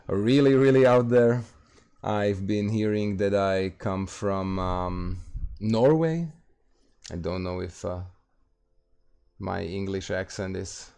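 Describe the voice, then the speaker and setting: A man from Slovakia with a Slovak accent, hosting a late-night gaming livestream and answering chat questions in a halting, casual way full of fillers. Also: fairly low pitch, gravelly, warm tone, clean tone. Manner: halting, many fillers and restarts, reads out chat. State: tired, streaming late at night.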